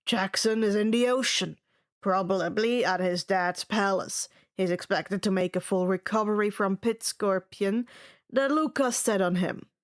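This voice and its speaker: A woman's voice: medium pitch, unhurried, natural voice. A woman voicing a curt, stern, irritable man who runs a camp, in audiobook-style character dialogue. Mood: matter-of-fact. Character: gruff, irritable male camp director, curt and commanding.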